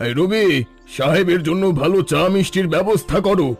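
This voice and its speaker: A man voicing a greedy, cunning village headman who smooth-talks and schemes in a cartoon story. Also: sits high, a little fast. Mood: flattering. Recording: soft background music.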